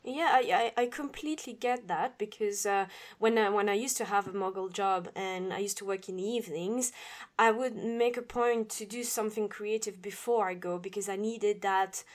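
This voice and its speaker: A woman's voice: mid-range voice, steady tone, normal speed. Woman from belgium interviewing a guest, friendly chatty, lots of ums. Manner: supportive, chatty, with fillers. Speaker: interviewer, podcast host. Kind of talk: casual interview chat.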